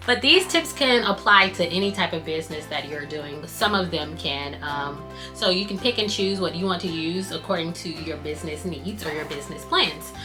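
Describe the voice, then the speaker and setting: Bright-voiced woman vlogger, voice going. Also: mid-range voice. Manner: chatty, reads tips off cue cards while sewing. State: losing her voice. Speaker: scrunchie business owner and channel host. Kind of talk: casual tutorial vlog.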